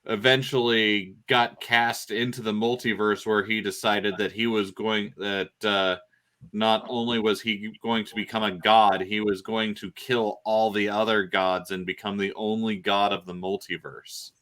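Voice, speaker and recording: A man explaining things casually on a podcast, in a halting way with pauses mid-phrase. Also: fairly low pitch, level tone, fairly bright tone. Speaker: podcast panelist.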